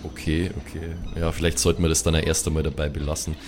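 A man's voice: a bass voice, flat delivery, fast talker, noisy background. A man chatting casually as a tabletop player, hesitant and questioning. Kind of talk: casual tabletop game chat.

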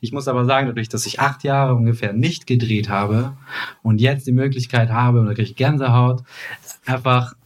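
A man's voice: mid-range voice, steady tone, moderate pace. A man in his thirties, not a native German speaker, chatting casually as a podcast guest and teasing with mock-dramatic exaggeration.

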